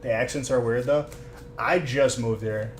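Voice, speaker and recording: A man's voice: medium pitch, fairly even intonation, unhurried. A young man from the Chicago suburbs with a Chicago accent, chatting casually on a live stream and using lots of "like" fillers. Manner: easygoing, many "like" fillers. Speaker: co-host of a card-opening stream. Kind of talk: casual chat. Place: shop room during a live stream. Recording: echoey room with background noise.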